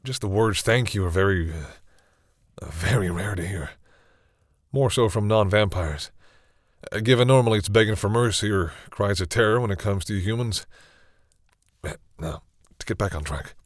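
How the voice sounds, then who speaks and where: A man playing a centuries-old vampire in an intimate audio roleplay, speaking formally but haltingly in a husky, breathy voice. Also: lowish voice, dry recording, studio quality, natural voice. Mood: awkward, surprised, then composed, explaining. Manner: hesitant, halting, formal phrasing. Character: centuries-old male vampire, courteous, hesitant, commanding with servants.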